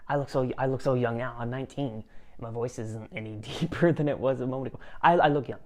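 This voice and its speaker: A man's voice: mid-range voice, steady tone, quick pace, darkish tone. Rambling self-mocking male host. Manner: rambling, self-mocking, frequent laughs and restarts. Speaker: channel host. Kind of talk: casual reaction commentary.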